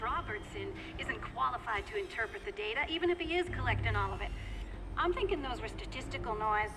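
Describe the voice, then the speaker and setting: An actress playing a stubborn, overworked research scientist who speaks firmly and dismissively. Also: female voice, a little high, lively intonation, fairly quick, noisy background. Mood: irritated, firm. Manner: firm, dismissive. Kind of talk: acted video game dialogue.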